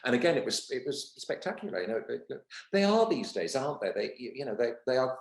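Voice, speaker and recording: A man's voice: medium pitch, very animated, fast talker. A man who performs in pantomime, reminiscing in a casual chat. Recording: roomy, echoing sound.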